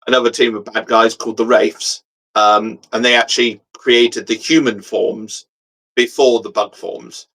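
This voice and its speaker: A man's voice: mid-range voice, steady tone, unhurried, fairly bright tone, low-quality recording. A man co-hosting a casual geek chat, explaining things in a hesitant, thoughtful way. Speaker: show co-host.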